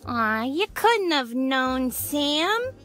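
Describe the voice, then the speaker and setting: A woman's voice: high voice, sing-song, very slow, fairly bright tone, soft background music, heavily acted. A woman acting a perky, sympathetic, teasing confidante to a detective in an old-time radio drama. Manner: consoling, teasing.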